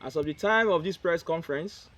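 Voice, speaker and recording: A man reading a prepared statement aloud at a press conference, in short, halting phrases and with the odd restart. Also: fairly high pitch, fairly bright tone.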